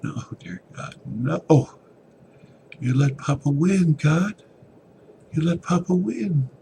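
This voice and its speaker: A man voicing a tormented, helpless son in a dramatic story reading, pleading in a breathy whimper. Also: fairly high pitch, animated, slow, background noise, voice pushed up, heavily acted. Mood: anguished.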